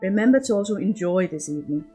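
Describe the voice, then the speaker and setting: A woman's voice: mid-range voice, lively intonation, fast talker, warm tone, husky. A genteel countess, played by a woman narrator from a period storybook. She is a proud, shrewd mother with a gracious manner. Faint music sits behind her. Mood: doting.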